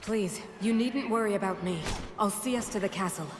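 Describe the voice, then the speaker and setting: A woman's voice: medium pitch, a little fast, close to an everyday voice. A woman playing a dutiful, selfless fantasy figure in a video game, earnest and reassuring. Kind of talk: video game cutscene acting. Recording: echoey reverberant sound, loud noise behind.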